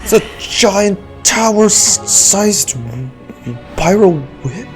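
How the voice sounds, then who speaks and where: A man's voice: high voice, very slow. A man giving casual live reactions to a video game, exclamatory, slangy and sweary. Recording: loud game music behind.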